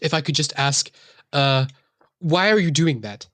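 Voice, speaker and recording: A woman's voice: low voice, animated, measured pace, a bit bright, no room sound. A woman improvising casually as a player in a tabletop role-playing game, wry and polite.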